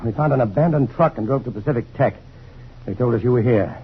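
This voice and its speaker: An actor playing an earnest, protective, determined scientist hero in an old-time radio drama. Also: male voice, mid-range voice, quick pace, dull audio, lightly acted. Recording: noisy, phone-thin vintage radio recording.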